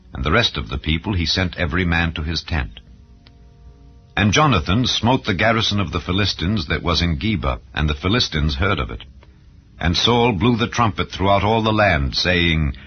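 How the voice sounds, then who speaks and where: Male Bible narrator: low-pitched, solemn and formal, dramatized reading, muffled recording with faint music. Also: husky. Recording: dull, lo-fi audio. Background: background music.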